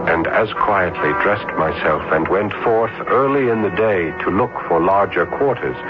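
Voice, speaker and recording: An actor playing an ardent, lovestruck young student in florid, earnest old radio drama style. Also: male voice, low voice. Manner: earnest, florid, halting declarations. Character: ardent, lovestruck young male student, sentimental and high-strung. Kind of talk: old radio drama acting with first-person narration. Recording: dull, noisy old radio broadcast.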